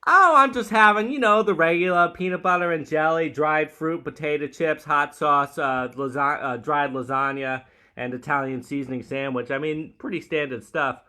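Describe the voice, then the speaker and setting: A man's voice: on the high side, moderate pace, pushed and bright, clear, resonant tone. A man voicing a playful male sandwich maker who hosts a mock interview. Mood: casual. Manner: chatty, playful, hosting a mock show. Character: quirky male sandwich maker called Shortcake. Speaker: comedic host.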